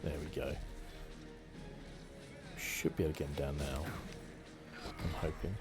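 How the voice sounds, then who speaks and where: A soft-spoken, low-key man giving casual ASMR commentary while he plays a video game. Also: flat delivery, a little slow, over music. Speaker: gaming channel host. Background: video game sound.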